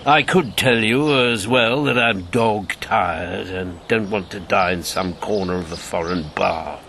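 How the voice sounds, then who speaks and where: A man's voice: deep voice, unhurried, bright, forceful tone, a little hiss or hum, full character voice. A man acting in a radio drama as an old former secret agent, jovial and world-weary, with a theatrical, bantering manner. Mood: weary, probing. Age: 70s.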